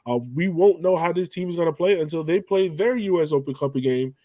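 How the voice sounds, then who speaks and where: A man's voice: on the high side, brisk, warm tone, no room sound. A man hosting a podcast, chatting casually about sport in an informal way. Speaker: podcast host.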